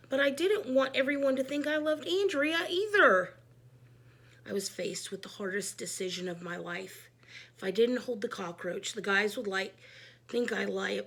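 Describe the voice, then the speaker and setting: Bright-voiced woman reading a children's story. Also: on the high side, husky. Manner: animated, emphatic. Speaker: read-aloud storyteller. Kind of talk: expressive children's book narration as a schoolboy narrator.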